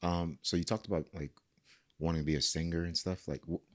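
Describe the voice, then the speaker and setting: A man's voice: sits low, steady tone, fairly quick. A man hosting a podcast, laid-back and casual, asking questions and giving lots of short replies of agreement. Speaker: podcast host. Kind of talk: casual interview chat.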